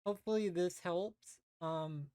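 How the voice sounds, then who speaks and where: A woman giving a casual, unscripted software tutorial, hesitantly thinking aloud as she goes. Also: fairly low pitch, a little slow, no room sound, studio quality. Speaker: tutorial presenter.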